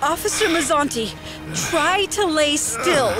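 A shaken young woman in an audio drama, anxious and high-voiced. She speaks slowly over clearly audible music. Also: expressive.

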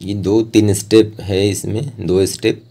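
A man's voice: on the low side, little pitch movement, unhurried, dark tone. A man giving an informal, plain step-by-step art tutorial as a voice-over instructor.

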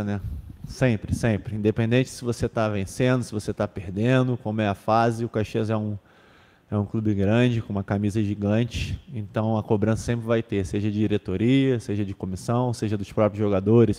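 A man's voice: on the low side, normal speed, dark tone, big-room sound, a little hiss or hum. A young man, a football defender, answering press questions in a casual way, with many fillers and restarts, into a table microphone. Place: club press room with sponsor backdrop. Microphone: table microphone on stand.

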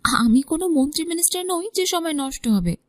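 A woman voicing a sharp-tongued, sulky young woman with clipped, cutting delivery in an audio story. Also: high-pitched, expressive, a little fast, dry recording, clean studio sound. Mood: curt, cutting. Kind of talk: dramatized romantic audio story.

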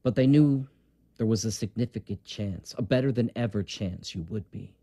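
A man playing a grave, principled academic doctor in an audio drama, speaking in a measured, formal way. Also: fairly low pitch, dark tone, husky, no echo. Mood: grave, explanatory.

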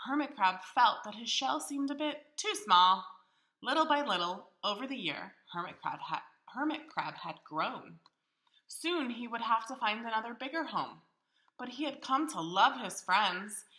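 Animated woman reading a children's storybook. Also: on the high side. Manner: deliberate, questions listeners as if teaching young children. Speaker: teacher reading a picture book aloud to children. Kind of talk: expressive children's storybook read-aloud. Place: indoors in a hallway of a home. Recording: echoey room in a house.

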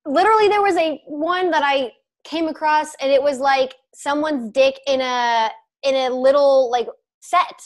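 Woman, mid-twenties, podcast co-host, slightly high voice, animated, swears a lot, earbud mic on a video call. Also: measured pace. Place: home room with bookshelf, indoors.

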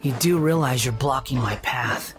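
A man's voice: medium pitch, moderate pace, lightly acted. A man performs a young puppet wanderer from an anime game, proud and volatile. His delivery is clipped and theatrical, with game music playing underneath. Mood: guarded.

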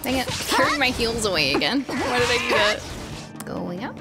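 A woman giving casual, playful, expressive live commentary as she streams a game. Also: fairly high pitch, normal speed, over loud music. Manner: playful, expressive, exclamatory reactions.

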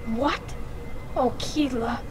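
A woman's voice: on the high side, slow, over music. An actress voicing an earnest, emotional young female alien in a dramatic video game scene. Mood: shocked.

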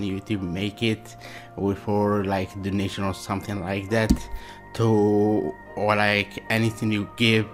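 A man in his thirties from Algeria hosting a reaction video, talking excitedly in simple English with many repeated exclamations. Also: fairly low pitch. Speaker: reaction channel host. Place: small indoor room before a dark backdrop.

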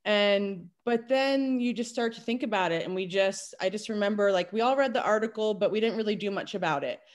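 A woman in her forties, a kindergarten teacher, telling a candid story on a video call from home. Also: medium pitch. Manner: candid, storytelling, quick asides. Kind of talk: casual video call panel. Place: home room at night. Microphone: laptop webcam on video call.

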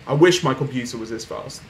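A young man casually commenting on a desktop game as he plays, reading posts aloud and laughing softly, recorded at home with faint background noise. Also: medium pitch. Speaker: gaming streamer. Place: small room at home, indoors.

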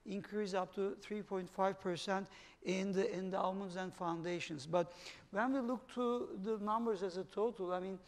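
A man with a Turkish accent leads a panel on a conference stage, pausing often with fillers. His voice sits high for a man and carries a long hall reverb. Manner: halting, many fillers while reading figures. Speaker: panel moderator.

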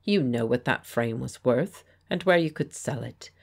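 A woman reading the part of a pleasant, confident gentleman painter who speaks with quiet authority. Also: very deep voice, lively intonation, normal speed, vocal fry, exaggerated voice. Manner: pleasant, firm, persuasive. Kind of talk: audiobook character voice.

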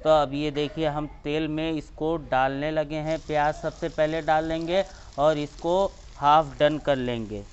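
A man's voice: a little high, fairly even intonation, a little slow, hardly any pauses, lo-fi sound, background noise. A man hosting a cooking channel, walking through each step of a recipe outdoors beside a clay stove. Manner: explains each step, points things out.

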